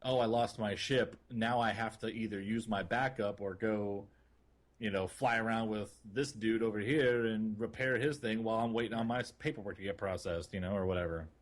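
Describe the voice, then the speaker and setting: A man co-hosting a gaming podcast in a casual, rambling way, with a somewhat husky voice. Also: lowish voice, moderate pace. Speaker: podcast co-host.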